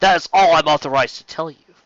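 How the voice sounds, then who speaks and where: A man's voice: on the high side, fast, no room sound, lo-fi sound, natural voice. A man voicing a defiant captured human military captain with a hard military delivery, in an audiobook reading. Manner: clipped rote military delivery breaking into shouts.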